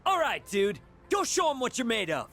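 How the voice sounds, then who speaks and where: A man's voice: very high voice, wildly swooping pitch, normal speed, sharp tone, faint music behind, exaggerated voice. An actor playing a brash, friendly, sporty teenage boy in a video game, slangy and enthusiastic. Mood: encouraging.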